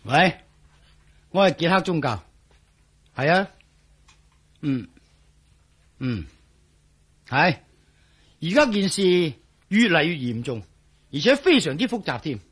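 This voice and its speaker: An actor playing a worried, blustery police officer in a radio drama, speaking Hong Kong Cantonese with emphatic, sighing delivery. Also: male voice, a little high, very animated, slow, pauses often, fairly bright tone, slightly rough, exaggerated voice. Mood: serious, worried.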